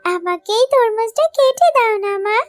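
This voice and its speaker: A woman's voice: very high voice, lively intonation, normal speed, no room sound, soft background music. A woman voicing an eager, pleading little girl fox cub in a children's cartoon.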